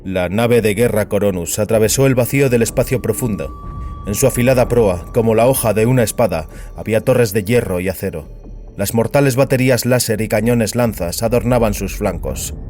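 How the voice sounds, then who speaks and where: A man narrating a scripted dramatic story in a solemn, deliberate manner. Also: fairly low pitch, darkish tone. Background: music.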